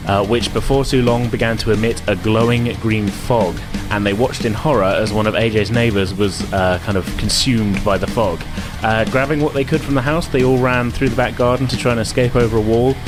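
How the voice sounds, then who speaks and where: Upbeat game master over music. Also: male voice, medium pitch, steady tone, brisk, few pauses. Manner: upbeat, many "uh" fillers. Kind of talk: podcast intro and recap. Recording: music bed under voice.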